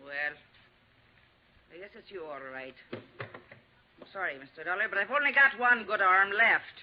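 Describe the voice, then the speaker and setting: Feisty rooming-house landlady, blunt and folksy, very animated delivery, vintage radio drama sound with light noise. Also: medium pitch, unhurried. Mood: grumbling, homely. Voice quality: folksy rustic diction. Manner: blunt, salty, emphatic. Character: feisty, plainspoken, tough New York rooming-house landlady. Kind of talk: 1950s radio drama acting. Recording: dull, lightly noisy 1950s radio recording.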